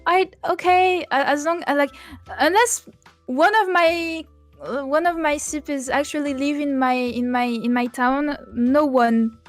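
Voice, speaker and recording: High-voiced woman, halting casual chat. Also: steady tone. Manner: halting, repeats words and restarts often. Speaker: VTuber, podcast host. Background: background music.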